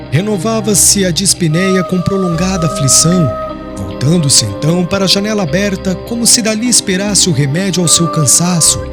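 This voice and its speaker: A man narrating an audiobook in a solemn, literary, dramatic style. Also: fairly high pitch.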